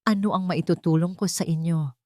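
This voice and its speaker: Woman acting poor older woman, humble, wary, polite, lowish voice, audio drama. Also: fairly even intonation, a little fast, dark tone, dry recording, studio quality, close to an everyday voice. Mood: confused, wary. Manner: polite, questioning, unsure.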